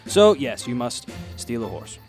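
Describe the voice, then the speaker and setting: An unflappable, eloquent gentleman adventurer in a radio drama. His pitch sits mid-range, his phrasing is courteous and wry, and music plays softly underneath. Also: big pitch swings, unhurried. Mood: coaxing, wry. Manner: polite, eloquent, formal phrasing. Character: Griffin, genteel, unflappable, wryly witty male adventurer.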